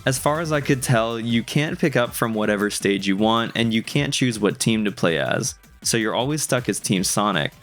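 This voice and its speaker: A man's voice: fairly low pitch, animated, normal speed, few pauses, fairly bright tone. A young adult man narrating a scripted video game review in a wry, conversational way. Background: music.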